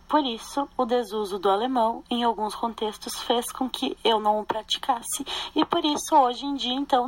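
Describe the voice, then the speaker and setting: A young woman reads a student podcast narration in a careful, measured manner. Her pitch is ordinary for a woman. The delivery sounds prepared rather than spontaneous. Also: poor audio. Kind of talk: read narration.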